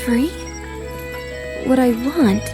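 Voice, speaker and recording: A woman's voice: fairly high pitch, very slow. A woman playing an earnest, polite young noblewoman heroine in an anime dub, both speaking and narrating her thoughts. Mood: hesitant. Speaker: voice actor in an anime fan dub. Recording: faint music behind. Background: faint music.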